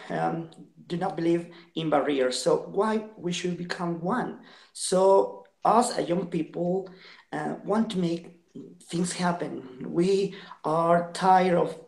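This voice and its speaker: A man's voice: high voice, creaky, darkish tone. Earnest young Spanish-accented man, echoey room. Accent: Latin American Spanish. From Ecuador. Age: 20s. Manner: earnest, halting with many fillers. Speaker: guest, social entrepreneur. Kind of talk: video call interview.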